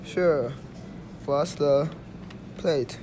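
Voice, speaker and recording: Guy doing a sloth clerk, highish voice, crawls along word by word, noisy audio. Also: extremely slow. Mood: placid, unhurried. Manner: word-by-word delivery with long gaps. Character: extremely slow, placid male sloth clerk. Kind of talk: amateur radio play acting. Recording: noisy amateur recording.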